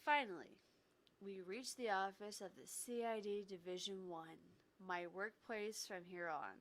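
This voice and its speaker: A woman with a medium-pitched voice hosts a visual novel stream. She moves between reading the text aloud and casual, joking banter. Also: measured pace, a bit bright. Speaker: gaming streamer.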